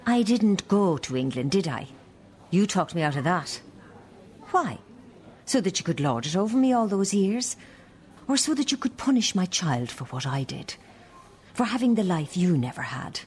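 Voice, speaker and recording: A woman's voice: fairly low pitch, very animated, moderate pace, darkish tone, a little hiss or hum. An actress with an Irish accent playing an embittered middle-aged mother in a radio play, cutting and confrontational. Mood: resentful.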